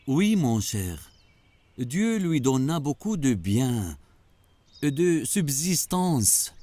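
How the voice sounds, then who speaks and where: An actor playing a wise, patient mentor who explains moral lessons in a children's religious cartoon. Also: male voice, mid-range voice, very animated, mellow, no echo, full character voice. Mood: patient, instructive. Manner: didactic, measured, affectionate address.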